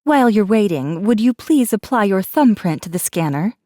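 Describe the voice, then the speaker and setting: A woman's voice: mid-range voice, very animated, moderate pace, mellow, dry recording, clean studio sound, voice pushed up, close to an everyday voice. A woman voicing a polite, easily flustered female receptionist with a courteous, formal customer-service manner in a sci-fi audiobook. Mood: polite, chirpy. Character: polite, flustered young female corporate receptionist, bright and chirpy.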